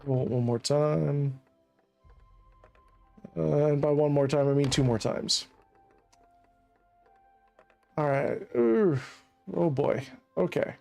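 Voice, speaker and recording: A man's voice: fairly high pitch, slow pace, vocal fry, long pauses, mellow, faint music behind. A man from Central Virginia chatting in a rambling, joking way while streaming a colony game. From Central Virginia, USA. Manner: rambling, chatty, laughs at his own jokes. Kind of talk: casual gameplay commentary.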